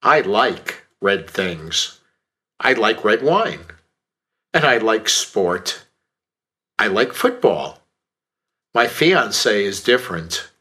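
A man voicing a slow, lively young American. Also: lowish voice, exaggerated voice. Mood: plain. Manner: slow and deliberate. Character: young American man reading a self-introduction. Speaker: course audio reader. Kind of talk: slow scripted language-course reading.

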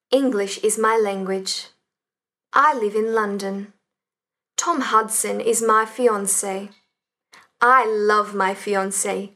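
A woman reading the part of a young Englishwoman in a language course, slow and deliberate. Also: medium pitch, bright, forceful tone. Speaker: course audio reader.

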